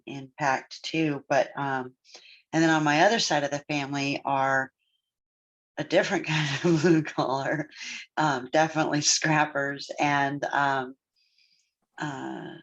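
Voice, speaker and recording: A podcast co-host and therapist talking through family background in a reflective, measured way, with frequent pauses and fillers. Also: mid-range voice, level tone, a bit bright. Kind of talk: casual podcast discussion. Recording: dull, lo-fi sound.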